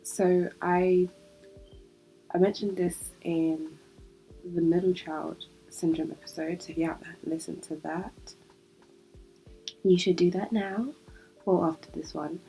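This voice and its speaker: Young british woman podcasting alone, stuffy nasal cold voice, low and mellow, chatty. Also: rough recording. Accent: British English. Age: young adult. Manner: chatty, reflective, laughs easily. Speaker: podcast host. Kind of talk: solo podcast monologue.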